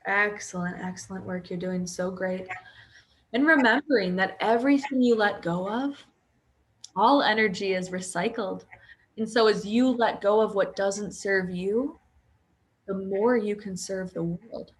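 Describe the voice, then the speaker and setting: A woman leading a guided sleep meditation in a slow, soothing voice that often drops close to a whisper. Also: medium pitch.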